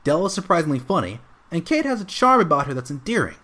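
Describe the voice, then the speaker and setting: A man delivers a scripted video game review voice-over. His voice sits a little high, and his intonation moves up and down in an animated way as he reads. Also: fast. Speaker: voice-over narrator.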